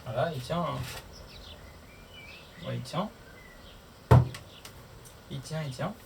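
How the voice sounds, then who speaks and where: A man's voice: on the high side, slow pace. A man chatting casually to camera as a vlogger doing a hands-on tutorial, often muttering to himself as he works through the steps. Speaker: motorcycle vlogger. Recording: distant mic, clear background noise.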